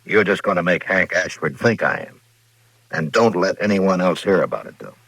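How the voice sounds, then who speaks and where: A stern American frontier lawman from 1950s radio drama. His voice is deep, recorded with no room around it. He speaks in clipped, dry, commanding phrases, shrewd and a little weary. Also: expressive, moderate pace, gravelly, a bit bright, slightly rough, dry recording, exaggerated voice, low-quality recording. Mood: dry, patient. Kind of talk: 1950s radio western acting.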